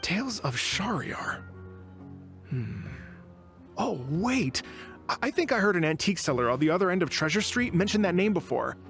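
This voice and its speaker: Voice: medium pitch, very animated, normal speed, a bit bright. An actor playing a flustered, obliging bookstore keeper in an anime video game, speaking hesitantly and casually. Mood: puzzled. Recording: game audio over clear background music.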